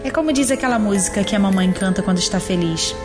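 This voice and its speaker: A woman playing an affectionate, wistful, gently teasing young granddaughter with a Brazilian accent in a scripted audio drama monologue. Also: medium pitch, fast, music in the background, lightly acted. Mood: tender, wistful.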